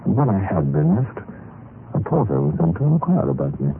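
An actor playing a dead Russian clerk who gravely narrates his own story in an old radio drama, measured and theatrical. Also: sits low, big pitch swings, a little hiss or hum. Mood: mournful, grave. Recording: muffled old radio recording with music. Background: music.

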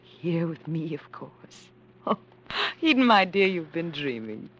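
An actress playing a devoted, anxious woman in love, tender and soft in old-time radio drama style. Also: low voice, sing-song, unhurried, dull audio, exaggerated voice. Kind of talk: 1940s-50s radio drama acting. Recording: vintage radio drama recording.

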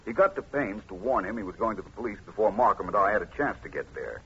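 An actor playing a cool, confident amateur detective, explaining himself in an assured, wry way in a vintage radio drama. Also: male voice, lowish voice, animated, fast talker, fairly bright tone. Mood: wry, explanatory. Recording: muffled old radio recording, faint noise.

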